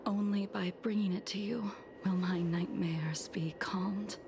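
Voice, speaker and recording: An actress playing a solemn, grieving veteran swordswoman in a video game, with a soft, breathy, restrained delivery. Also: mid-range voice, steady tone, background noise, lightly acted. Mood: mournful, tender. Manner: slow, measured, grave. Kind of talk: English-dubbed video game story acting.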